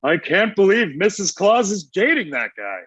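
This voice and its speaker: A man's voice: high voice, big pitch swings, normal speed, a bit bright, slightly rough, no room sound, heavily acted. A man playing a sulky, stubborn, lovesick old Santa Claus in a comedy table read, petulant, with dull sound.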